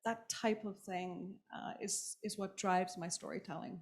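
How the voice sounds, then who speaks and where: A woman's voice: medium pitch, fairly even intonation, a little slow, a little mellow. Irish woman, author, reflective, hesitant, lots of ums. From Ireland. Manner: thoughtful, hesitant, many ums and restarts. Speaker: author on a panel. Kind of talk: reflective panel talk.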